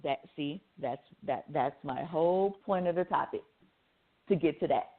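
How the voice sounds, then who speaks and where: A woman's voice: low voice, moderate pace, gravelly, breathy edge. A woman co-hosting a talk show, speaking casually in a blunt, insistent way with plenty of swearing. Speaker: radio show co-host. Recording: thin phone-like audio.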